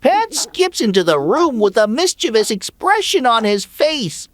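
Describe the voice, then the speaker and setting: Slow, high-voiced woman storyteller. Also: very animated, slight background noise. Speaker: narrator. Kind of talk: scripted children's story narration.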